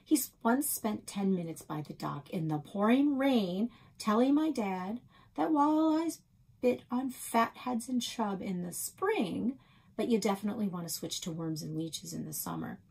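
A middle-aged woman reads a children's story aloud. Her voice is mid-pitched and husky, picked up at about arm's length in a small office. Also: lively intonation, unhurried, darkish tone. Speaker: reader of a children's novel.

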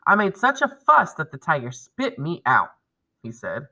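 Male schoolteacher, thirties, lively pitch movement and bright tone, explaining and reading to kids on a lesson video. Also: normal speed. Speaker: elementary school teacher.

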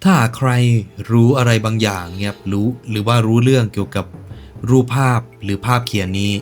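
A man's voice: lowish voice, steady tone, brisk, faint music behind. A man hosting a reaction video, commenting casually in slangy speech with joking asides and stretches of narration. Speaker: YouTube reaction host.

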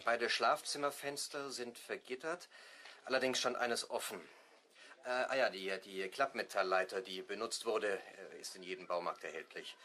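An actor playing a police investigator in a German radio play, halting and factual, with a forceful, bright voice. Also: male voice, fairly low pitch, slight background noise. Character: hesitant, official male police investigator.

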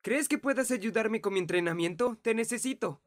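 A woman's voice: fairly high pitch, normal speed, close to an everyday voice. A woman voicing a kind, earnest teenage boy fighter in an anime fan dub, explaining things like a patient older brother.

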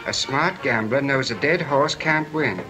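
A man's voice: mid-range voice, a little slow, music in the background, close to an everyday voice, low-quality recording. An actor playing a breezy, joking young male gambler in a 1930s film.

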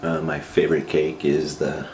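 A man in his thirties with a North American accent, giving a casual step-by-step cooking demonstration in a plain, halting way. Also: a bass voice, monotone. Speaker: amateur cooking video host. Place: home kitchen, indoors. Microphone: camera microphone, a short distance away. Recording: roomy camcorder sound with clear noise.

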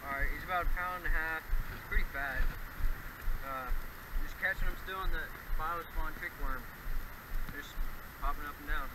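A man's voice: a little high, normal speed, long pauses. A young American man talking casually about his fishing, caught on a friend's camera outdoors. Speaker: angler in a fishing vlog. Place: outdoors beside a pond. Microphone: friend's camera a few feet away. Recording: very loud outdoor noise on camera.

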